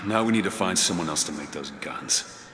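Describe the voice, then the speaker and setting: Gruff low-voiced weary adventurer. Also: male voice, animated, normal speed, noisy background. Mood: angry, demanding. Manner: blunt, terse, irritable. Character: gruff, weary, suspicious male tough-guy adventurer. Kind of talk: scripted video game dialogue. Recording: game audio with music behind.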